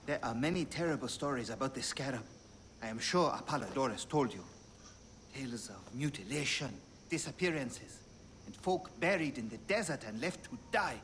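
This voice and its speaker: An actor playing an anxious, secretive middle-aged brewer in a video game, speaking in a hushed, grave way. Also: male voice, medium pitch, animated, moderate pace, slight background noise, natural voice.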